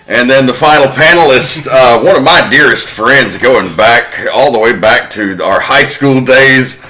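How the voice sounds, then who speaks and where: Bright-voiced male podcast host. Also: medium pitch, unhurried, low-quality recording. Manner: chatty, casually profane storytelling. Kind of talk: casual podcast chat.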